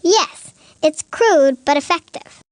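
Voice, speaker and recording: A cartoon science expert with a notably high voice and a deadpan delivery. The explanations come out dry and instructive, in a close, dry recording. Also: slow pace, no room sound. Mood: matter-of-fact. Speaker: expert witness. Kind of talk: animated comedy dialogue, explaining science.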